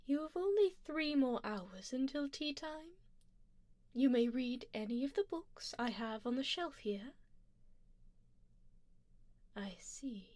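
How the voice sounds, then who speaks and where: A high-voiced, refined vampire duchess, played by a woman, dotes possessively on her listener in an ASMR roleplay. She speaks softly and slowly, often near a whisper, with genteel condescension and quiet command. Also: animated, no echo. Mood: gently commanding. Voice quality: soft, breathy whispered passages. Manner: genteel, measured, formal, gently commanding. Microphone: close binaural microphone, dry.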